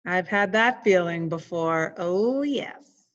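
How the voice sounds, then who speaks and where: A woman reading a children's story aloud and chatting about it in a measured, reflective way. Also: fairly low pitch, lively intonation, highs cut off. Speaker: story reader, co-host. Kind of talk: reading a picture book aloud, with chat.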